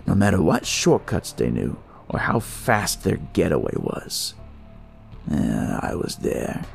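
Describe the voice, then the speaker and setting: A man's voice: deep voice, sing-song, slow pace, vocal fry, soft background music. A man narrating a first-person noir horror story in a dramatic storytelling style.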